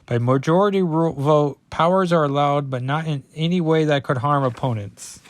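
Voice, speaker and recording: A man's voice: a little high, normal speed, no echo, natural voice. A man voicing a big male hero teacher from a comic book, announcing game rules in an orderly way. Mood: official.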